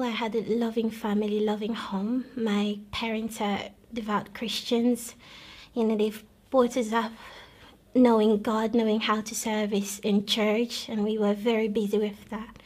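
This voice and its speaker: A young woman from Congo tells her personal story in an earnest, halting way, often stopping to restart her sentences. Her voice sits a little high, yet it stays level and rarely rises or falls. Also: steady tone, darkish tone. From Democratic Republic of Congo. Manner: earnest, measured, with frequent pauses and restarts. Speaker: guest telling her conversion story. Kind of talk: personal testimony, recalled storytelling.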